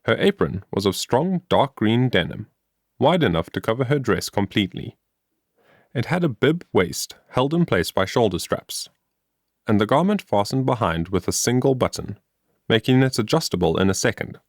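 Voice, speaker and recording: A man narrating a scripted story as an audiobook reader, with expressive, carefully enunciated delivery. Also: mid-range voice, normal speed, dry recording, clean studio sound.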